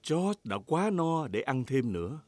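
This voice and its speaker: A man's voice: medium pitch, big pitch swings, measured pace, no room sound. A man narrating a children's cartoon in a storytelling way, phrased simply for young viewers. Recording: loud music behind the narration.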